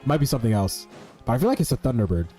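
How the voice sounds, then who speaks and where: A man with a dark, mellow, mid-pitched voice gives casual gameplay commentary. He rambles and swears while thinking out loud, with faint music behind him. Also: very animated, rapid-fire. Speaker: streamer playing a video game.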